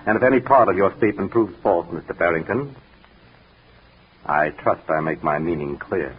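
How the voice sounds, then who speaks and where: A dogged police detective in a 1940s radio mystery, low-voiced and very rough-edged. He is formal, deliberate and accusing, heard through a thin, phone-like old recording. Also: animated. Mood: accusing, insistent.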